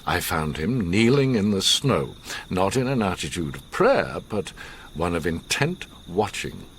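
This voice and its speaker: An actor playing an older, learned gentleman who recounts his past gravely, with measured, theatrical pauses, in a radio drama. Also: low-pitched, very animated, a little hiss or hum, full character voice. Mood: intense, awed.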